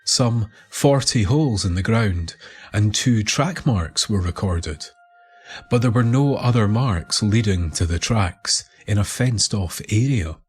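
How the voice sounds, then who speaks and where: A man narrating a scripted documentary story in a measured, deliberate manner. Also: fairly low pitch, lively intonation, darkish tone, husky. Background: music.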